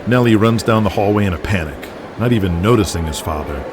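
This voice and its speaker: A man's voice: fairly low pitch, animated, fast, background noise. A man delivering scripted, dramatic narration as the narrator of an audio drama.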